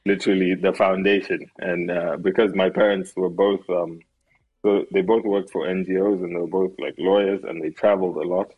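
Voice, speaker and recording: Low husky man telling his story. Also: moderate pace, phone-quality sound. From Kenya. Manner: relaxed, rambling with many fillers and restarts. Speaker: farmer and entrepreneur, guest. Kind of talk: casual conversational storytelling.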